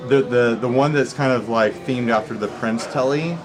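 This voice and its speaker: A man's voice: mid-range voice, normal speed, poor audio. A man guest in a casual chat, talking with playful enthusiasm. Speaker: guest YouTuber.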